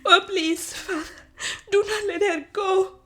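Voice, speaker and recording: A woman's voice: extremely high pitch, steady tone, a little slow, a bit bright, pitched above the performer's own voice. A woman voicing a proud young woman who is sobbing, pleading and hysterical with terror in a storybook tale.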